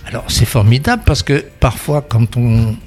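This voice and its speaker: A man's voice: medium pitch, moderate pace, darkish tone, faint music behind. A man hosting a radio interview, asking questions in a chatty, conversational way. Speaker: radio host.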